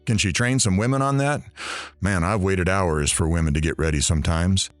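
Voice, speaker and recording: A man's voice: deep voice, normal speed, no echo, faint music behind. A man playing a radio talk show host who wisecracks at the storyteller. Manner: wisecracking, teasing.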